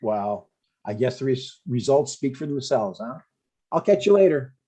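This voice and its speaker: An earnest dad in his sixties, played by a man at a comedy table read, eager and gently stubborn. His voice is dark and husky, and the recording is slightly dull. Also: mid-range voice, very animated, moderate pace. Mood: evasive. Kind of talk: conversational comedy script read aloud.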